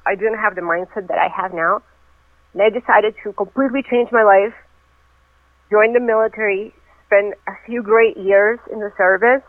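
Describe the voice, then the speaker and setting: A woman's voice: mid-range voice, no room sound. A woman who grew up in Venezuela, a personal trainer, chatting as a guest and telling her story at length over the phone. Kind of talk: casual interview over the phone. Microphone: telephone. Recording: tinny phone line, dry.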